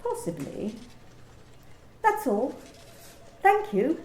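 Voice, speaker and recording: An amateur actress playing a formal, officious female workplace manager in a radio play, measured and precise. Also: sits high, very animated, a little mellow, roomy sound, slight background noise, exaggerated voice.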